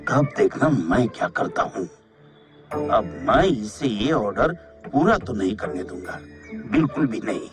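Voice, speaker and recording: A man's voice: mid-range voice, animated, measured pace, music in the background, close to an everyday voice. A man playing a jealous, bossy shopkeeper villain in a cartoon story, with a sly, imperious way of talking. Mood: scheming, envious. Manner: sly, imperious, muttering to himself. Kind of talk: Hindi cartoon story acting.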